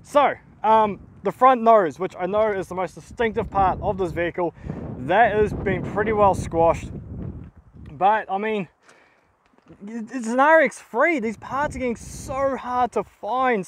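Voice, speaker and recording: Young Aussie host, high bright voice, excitable and exclaiming, filmed outdoors in open bush. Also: male voice, a little slow. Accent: Australian. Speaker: car wreck hunter, channel host. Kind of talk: enthusiastic outdoor vlog. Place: outdoors in open dry bushland.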